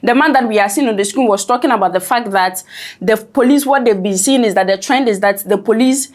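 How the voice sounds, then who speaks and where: Woman breaking down the news, kinda high voice, chatty and explaining. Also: a little fast, darkish tone. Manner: conversational, often asks "right?". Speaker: news commentator.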